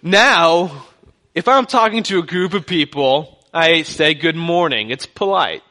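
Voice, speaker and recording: A man's voice: a little high, lively intonation, measured pace, sharp tone. A young man from Texas with a light Texan accent, preaching a sermon as a guest pastor in a joking, conversational way. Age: young adult.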